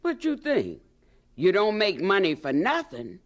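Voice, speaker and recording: An actress playing a bossy, proud older aunt from the American South, folksy and scolding, in a radio drama. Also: female voice, mid-range voice, huge pitch swings, unhurried, muffled recording. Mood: brisk, instructive.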